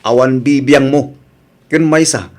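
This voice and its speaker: A man's voice: medium pitch, unhurried, darkish tone, natural voice. Man acting an irritable, domineering husband. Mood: angry, defiant. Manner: brusque, confrontational. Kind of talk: Ilocano radio drama acting.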